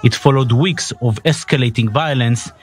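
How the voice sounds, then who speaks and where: A man's voice: mid-range voice, moderate pace. A man reading a scripted news report as a narrator.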